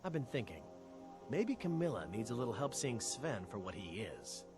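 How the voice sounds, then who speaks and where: An actor voicing a lovesick, scheming wood elf villager in a fantasy video game, earnest and wavering. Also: male voice, medium pitch, very animated, moderate pace, close to an everyday voice. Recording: game dialogue under clear music.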